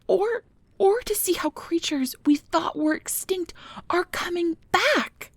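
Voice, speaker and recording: A woman playing a nervous, earnest, principled field researcher of magical creatures in an ASMR audio drama, soft and hesitant with stammers, close to the mic. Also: extremely high pitch, slow pace, dry recording, exaggerated voice. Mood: upset, impassioned. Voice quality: breathy, soft. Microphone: close mic, dry recording.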